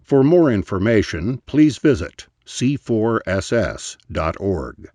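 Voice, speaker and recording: A man reading a scripted podcast announcement in a formal, deliberate announcer style. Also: lowish voice, sing-song, mellow, no echo, studio quality.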